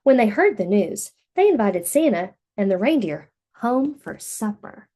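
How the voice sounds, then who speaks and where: Animated, mellow woman reading a storybook. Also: medium pitch, unhurried. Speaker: storybook reader for children. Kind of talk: read-aloud storytelling for young children.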